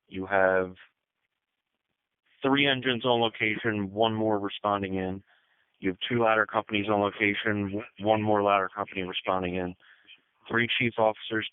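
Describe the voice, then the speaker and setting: Male dispatcher, low clear voice, procedural radio read-backs, thin phone-like narrowband sound. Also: no room sound. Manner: procedural, reads back messages. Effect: two-way radio. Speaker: county fire dispatcher.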